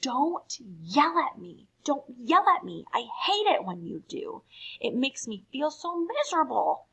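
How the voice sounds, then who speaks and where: A miserable child pleads emphatically in a dramatic poem recital, performed by a woman. The voice is husky and creaky, delivered slowly. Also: high-pitched, sing-song, pushed and bright, pitched above the performer's own voice, heavily acted, rough recording. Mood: distressed, pleading. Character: miserable child pleading against being yelled at.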